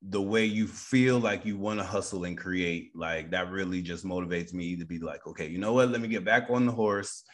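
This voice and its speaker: A man's voice: low voice, steady tone, moderate pace, few pauses. An American man hosting a casual interview, informal and encouraging as he talks with his guest. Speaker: interview show host.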